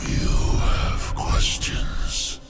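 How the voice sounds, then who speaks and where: An actor playing a colossal ancient alien beast in a video game, solemn and ponderous, with a guttural, gravelly growl. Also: male voice, extremely slow. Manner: ponderous, portentous pronouncements. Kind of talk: video game cinematic acting. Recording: loud game music behind.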